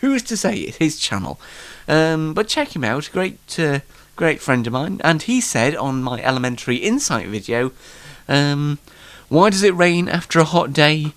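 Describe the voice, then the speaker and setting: A man's voice: fairly high pitch, sing-song, moderate pace, a bit bright. A British man with a bunged-up nose, chatting off the cuff as host of his homemade show in a rambling, self-deprecating, mock-exasperated way. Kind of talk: casual, unrehearsed solo show chat. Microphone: microphone, speaking alone.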